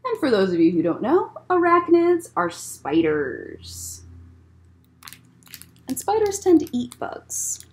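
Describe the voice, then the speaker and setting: A woman in her thirties reads a children's picture book aloud. Her voice sits fairly high and swings widely in pitch. She takes her time and adds little explanations for young listeners. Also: huge pitch swings, slow. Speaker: children's library story time reader. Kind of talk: read-aloud picture book storytelling with asides. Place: indoors, library room with bookshelves.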